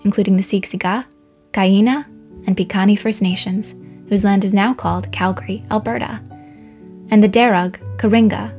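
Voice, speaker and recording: A woman reading a scripted production introduction as announcer in a measured, formal way. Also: mid-range voice, darkish tone. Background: plucked guitar music.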